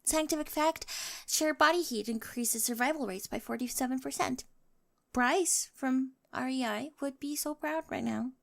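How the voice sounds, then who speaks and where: Woman playing a high-pitched, quirky, nerdy teenage girl. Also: moderate pace, gravelly. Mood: eager, chatty. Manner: chatty, quirky, rambling, often dropping to whispers. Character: awkward, eager, nerdy teenage girl with a crush. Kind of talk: ASMR roleplay monologue.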